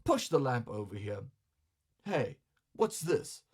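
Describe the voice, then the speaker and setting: Man performing indignant male customer, mid-pitched, blustery, sarcastic, colloquial, comic storytelling. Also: big pitch swings, slow pace, a little mellow, husky, heavily acted. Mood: bossy, suspicious. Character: grumbling, hot-tempered, vain male brothel customer.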